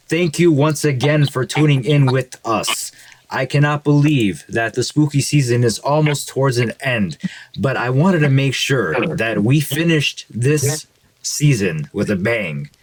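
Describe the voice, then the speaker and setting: A man's voice: medium pitch, no room sound, poor audio. A man hosts a casual podcast interview with an eager, upbeat manner. His voice sits in an ordinary middle range, and he fills the gaps with friendly asides. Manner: upbeat, hyping up guests. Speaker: podcast host.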